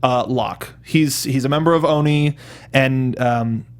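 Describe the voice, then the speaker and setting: A man with a mid-pitched voice co-hosts a film review show. He talks in a casual, informal way, like someone keen to recommend what he enjoys. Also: a little slow.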